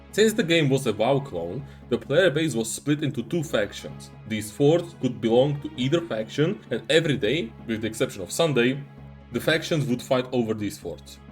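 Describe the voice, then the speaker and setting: Young man, measured and earnest, faint music underneath. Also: medium pitch, darkish tone. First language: Czech. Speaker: YouTuber. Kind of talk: scripted commentary.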